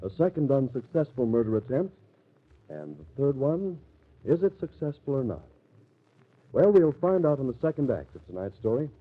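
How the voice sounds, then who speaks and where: A man's voice: medium pitch, big pitch swings, moderate pace. A man narrating a 1950s radio mystery as announcer, dramatic and suspenseful. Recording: tinny, narrow old radio recording.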